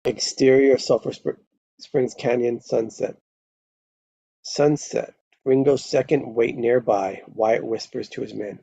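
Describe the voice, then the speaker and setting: A man's voice: mid-range voice, steady tone, takes it slowly, gravelly, dark tone, slightly rough, no echo. A man reading stage directions as narrator at a live screenplay table read, on a dull lo-fi microphone.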